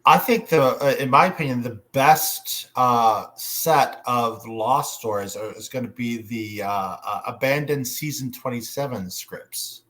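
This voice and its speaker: A man's voice: medium pitch, unhurried, few pauses, a bit bright. A man giving his opinions as a panelist in a casual chat among fans.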